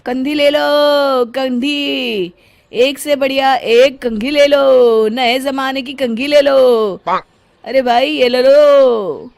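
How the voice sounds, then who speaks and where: Woman doing a pushy street hawker guy in a kids cartoon, loud high drawn out selling calls. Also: fairly even intonation, extremely slow, gravelly, full character voice. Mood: loud hawking.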